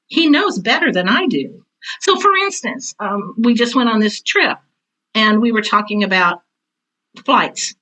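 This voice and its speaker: Bright-voiced older woman, blunt and candid. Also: medium pitch, poor audio. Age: 60s or older. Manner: blunt, animated storytelling with self-quotes. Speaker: interview guest, veteran theater teacher. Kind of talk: candid conversational interview.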